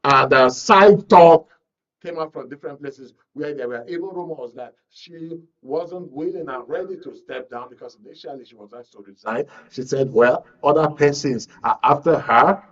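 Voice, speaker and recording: Man, Nigerian accent, radio host, slightly high pitch, deliberate, rhetorical commentary, muffled lo-fi broadcast sound. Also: a bit bright. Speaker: radio talk show host. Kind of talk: live current affairs commentary. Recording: dull, lo-fi broadcast audio.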